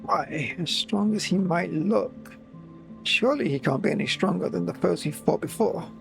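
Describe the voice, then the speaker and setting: A man's voice: high voice, normal speed, creaky, music in the background. An actor playing a level-headed young male adventurer in a video game, direct and to the point. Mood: thoughtful.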